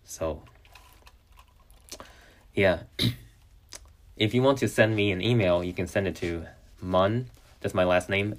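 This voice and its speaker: A young man whose first language is Chinese, hosting a solo podcast and talking in a halting way with many restarts. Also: fairly low pitch. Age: young adult. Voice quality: halting, with frequent restarts and fillers. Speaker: podcast host.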